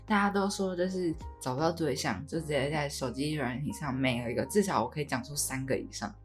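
A woman's voice: low voice, brisk. A woman in her early twenties with a Taiwanese Mandarin accent co-hosts a casual, conversational podcast. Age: early 20s. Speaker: podcast co-host. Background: background music with guitar.

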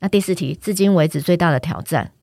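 A woman's voice: fairly low pitch, quick pace, dark tone, dry recording. A woman with a Taiwanese Mandarin accent hosting a chatty podcast interview. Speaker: podcast host.

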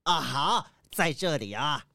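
A man's voice: on the high side, lively intonation, unhurried, gravelly, pushed and bright, dry recording, studio quality, heavily acted. A man playing a folksy, plain-spoken ship captain in a children's radio drama, drawing out his exclamatory sentence endings. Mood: showing.

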